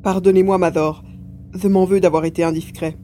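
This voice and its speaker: A woman's voice: on the low side, steady tone, fairly quick, mellow, faint music behind, close to an everyday voice. A woman voicing a cheerful, mischievous male mutant mouse-beaver with a playful manner in an audio drama. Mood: apologetic. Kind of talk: acted audiobook dialogue. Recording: faint background noise and hum.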